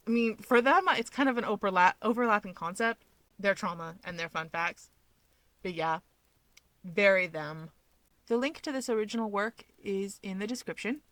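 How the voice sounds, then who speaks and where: A woman's voice: mid-range voice, fairly bright tone, no echo, poor audio. A woman narrating fan fiction as a storyteller, reading from a script.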